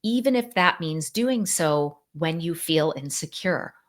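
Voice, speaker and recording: Lively conversational woman psychologist. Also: sits low, unhurried. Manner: animated, conversational, many "like" and "you know" fillers. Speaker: psychologist and author, guest. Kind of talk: podcast interview, explaining.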